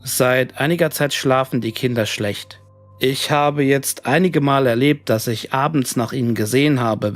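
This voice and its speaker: A man plays a worried widowed dad in his forties in a horror story. He is polite, sober and measured, with a mid-range voice over music. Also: mid-range voice, dry recording, close to an everyday voice. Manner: earnest, measured, formal. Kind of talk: reading a letter in a horror story. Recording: music bed under the voice.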